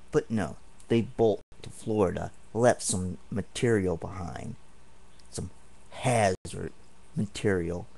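Slow, gravelly-voiced indignant man. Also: fairly low pitch, warm tone. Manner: indignant, rambling, repeats himself. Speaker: vlogger. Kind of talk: angry home video rant. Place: home apartment.

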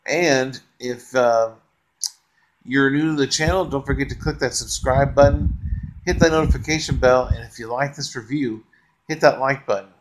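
Relaxed rambling middle-aged man reviewing movies. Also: mid-range voice, normal speed, poor audio. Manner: relaxed, rambling, frequent uh fillers, enthusiastic praise. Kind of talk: casual unscripted movie review. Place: bedroom, lying on a couch or bed, indoors. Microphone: webcam close to the face.

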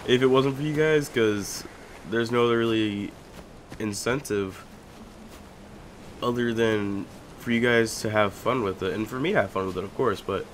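A young man casually commentating a video game as he plays, chatty and joking, with sudden loud outbursts. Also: medium pitch, normal speed, a little hiss or hum. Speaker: gaming YouTuber. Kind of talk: casual let's-play commentary.

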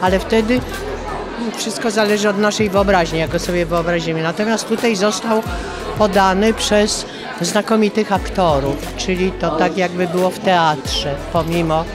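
A woman's voice: fairly low pitch, fairly even intonation, moderate pace, a crowd in the background. Middle-aged woman in a busy foyer. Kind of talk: interview reflection. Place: crowded indoor foyer. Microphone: handheld interview microphone. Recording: busy foyer with music behind.

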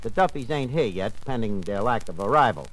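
A man's voice: fairly low pitch, lively intonation, brisk, lightly acted. A man acting a brash tavern manager in an old radio comedy, with a New York accent and mangled grand words. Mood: mock formal. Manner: mangled grand words, folksy slangy grammar. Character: brash, malaprop-prone tavern manager playing master of ceremonies. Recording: noisy old-time radio recording.

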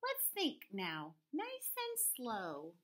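A woman voicing a calm, good-natured male farmer in a children's storybook. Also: high voice, unhurried, voice pushed up, heavily acted.

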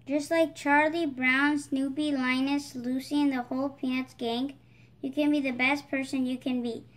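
High-pitched female storybook reader. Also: level tone, normal speed. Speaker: storybook reader, channel host. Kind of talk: picture book read-aloud.

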